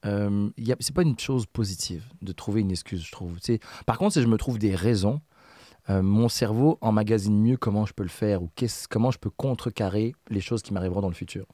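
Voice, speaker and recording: A man with a Québécois French accent, co-hosting a casual podcast chat, reflective and self-critical and quick to laugh. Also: lowish voice, mellow, no echo, clean studio sound. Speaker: podcast co-host.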